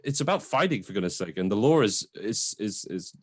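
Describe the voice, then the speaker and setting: Male co-host, lowish voice, very lively intonation, effusive casual talk. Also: a little fast, no echo, clean studio sound. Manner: enthusiastic, effusive. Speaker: podcast co-host. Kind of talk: casual film discussion chat.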